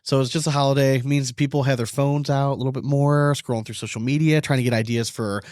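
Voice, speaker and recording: A man's voice: mid-range voice, steady tone, fast talker, darkish tone, dry recording, studio quality. A man co-hosting a podcast in casual sports banter, with slangy, profane, bro-ish talk. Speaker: podcast host.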